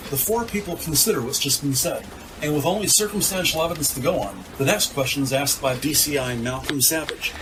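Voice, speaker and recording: A man narrating a scripted audio drama in a measured storytelling manner. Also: fairly high pitch. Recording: clear background noise, ambience.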